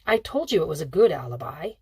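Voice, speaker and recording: A woman's voice: low voice, very animated, fast talker, breathy edge, no echo, studio quality, full character voice. A woman voicing an affectionate, roguish middle-aged father in an audiobook, with a casual, teasing, evasive manner. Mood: sly. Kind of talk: audiobook character dialogue.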